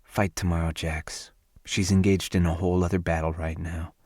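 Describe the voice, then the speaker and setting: A sardonic young woman, as a male narrator puts her on, protective of her friend and blunt with everyone else. Her lines come out dry and firm, read in his low voice without much lift, close and dry. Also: little pitch movement, normal speed, darkish tone, dry recording.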